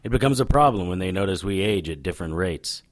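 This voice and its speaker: Deep-voiced, wisecracking noir detective. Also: male voice, animated, quick pace, dry recording. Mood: brooding, dry. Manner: sardonic, flippant. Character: wisecracking, cocky supernatural detective, world-weary and ageless. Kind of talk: noir audio drama narration and dialogue.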